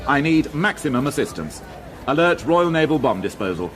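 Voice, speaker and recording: A brusque police inspector. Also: male voice, on the high side, very animated, moderate pace, fairly bright tone, faint music behind. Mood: urgent. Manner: brusque, exasperated. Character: brusque middle-aged male police inspector. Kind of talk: radio crime drama acting. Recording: radio drama with background noise.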